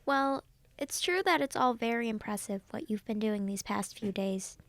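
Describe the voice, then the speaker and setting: A woman acting a supportive, sensible young college friend in an amateur audio drama, gentle and conversational. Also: fairly high pitch, expressive, normal speed, no room sound. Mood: reassuring, concerned. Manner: gentle, reassuring.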